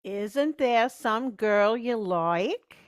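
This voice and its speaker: A woman's voice: on the high side, expressive, very slow, no room sound, clean studio sound. A woman playing a nagging, fussing mother. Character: fussing, encouraging mother of a grown son. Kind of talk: vintage radio play acting.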